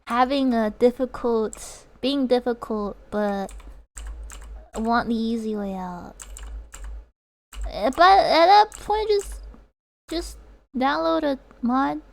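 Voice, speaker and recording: Young woman, native Chinese speaker, high voice, slow unhurried talk, giggly and rambling. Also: animated, vocal fry. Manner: giggly, rambling, frequent laughs and fillers. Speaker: streamer. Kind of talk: casual chat reading viewer comments.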